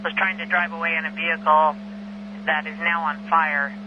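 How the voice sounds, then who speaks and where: A man's voice: a little high, level tone, normal speed, highs cut off. A man working as an emergency dispatcher over two-way radio, reading back codes and numbers in clipped, procedural phrases. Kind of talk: police and fire radio dispatch. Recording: noisy police scanner radio, humming.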